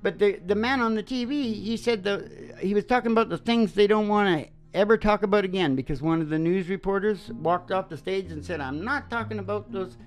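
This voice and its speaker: A woman's voice: mid-range voice, fairly quick, few pauses. A woman hosting a casual music and talk show, rambling in a folksy, teasing, opinionated way. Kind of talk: casual rambling chat between songs.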